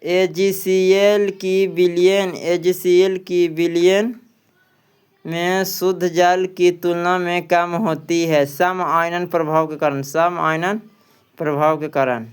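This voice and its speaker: A man's voice: high-pitched, flat delivery, slow, clear, resonant tone. A man reads out practice questions and answers as an exam coaching teacher, repeating phrases for emphasis.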